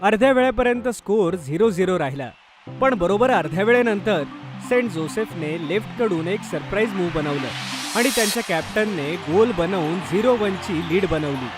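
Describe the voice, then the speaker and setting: Male narrator, high pitch, scripted kids' storytelling, clear music bed. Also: expressive, normal speed.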